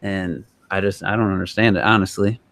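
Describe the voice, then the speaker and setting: A man's voice: sits low, fairly even intonation, fairly quick, gravelly, a bit bright, no room sound. Man in a dry-sounding casual podcast discussion. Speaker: podcast co-host.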